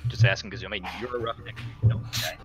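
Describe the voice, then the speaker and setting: A man's voice: lowish voice, steady tone, fast. A man casually talking through plans as a player in a tabletop roleplaying game.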